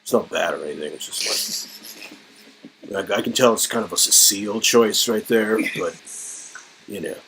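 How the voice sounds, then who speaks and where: A man with a low voice and plenty of fry performs an interactive story. His reading is casual and wry, moving between narration and informal banter. Faint noise runs behind him. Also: normal speed, pauses often, a bit bright, rough recording. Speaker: reader performing a story game.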